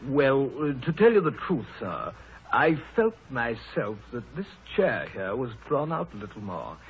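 A jittery humble servant, hesitant, old radio drama, muffled with slight noise. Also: male voice, mid-range voice, very animated, measured pace. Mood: nervous. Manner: hesitant, flustered, deferential. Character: nervous, deferential male household servant. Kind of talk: 1930s radio acting. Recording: muffled, faintly noisy 1930s radio broadcast.

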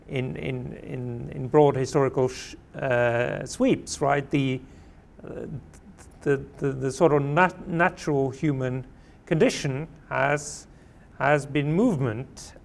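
A man in his forties with a Norwegian accent, a guest answering interview questions in a halting way, with many fillers and restarts, on a lapel mic in a small room. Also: medium pitch, gravelly. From Norway. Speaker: guest anthropologist. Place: small plain room indoors.

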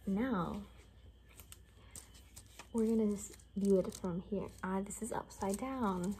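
A young woman speaking casually in a hushed, half-whispered ASMR roleplay style, close to the mic in a room. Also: medium pitch, slow pace. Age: young adult. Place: small furnished room indoors. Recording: noticeable background noise.

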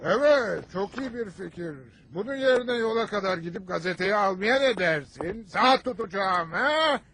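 High-pitched grumpy cartoon dad. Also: male voice, expressive, normal speed, a bit bright, a little hiss or hum. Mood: teasing, needling. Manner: scolding, blustering, sarcastic. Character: grumpy, stingy, sarcastic middle-aged cartoon father. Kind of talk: dubbed cartoon acting.

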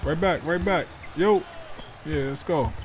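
A man role-playing a mic'd-up basketball player, calling out short hyped commands to his teammates over in-game chatter. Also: on the high side. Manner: hyped, urging teammates with quick short calls. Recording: noisy game audio underneath.

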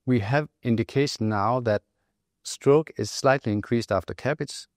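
A middle-aged man with a Danish accent explains research findings as a physician in a measured, matter-of-fact interview manner. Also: medium pitch, no room sound, clean studio sound. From Denmark. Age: middle-aged, around 60. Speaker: physician and clinical trial investigator. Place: indoor interview set, close dry sound. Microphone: lapel microphone.

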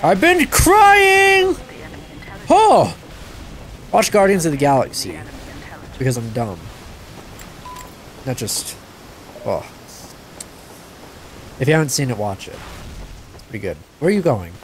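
Young guy, kinda stuffy nose, commentating his game, voice all over the place, slightly high. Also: takes it slowly, pauses often, a little hiss or hum. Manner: excitable, yelps and laughs at the action. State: a bit stuffed up from crying. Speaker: gaming channel host. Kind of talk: live gameplay commentary.

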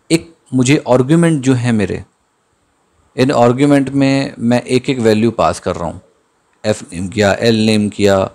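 A man giving a screen-recorded coding lesson, thinking aloud as he types and slowing down to read out the code. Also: mid-range voice, darkish tone. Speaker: programming tutor. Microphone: voice-over on screen capture.

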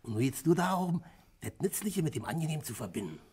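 An actor playing a hot-headed, boastful working man with a Berlin accent in a radio play, excitable and colloquial. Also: on the high side, quick pace, husky, exaggerated voice. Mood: tipsy, scheming. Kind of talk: 1960s radio play acting.

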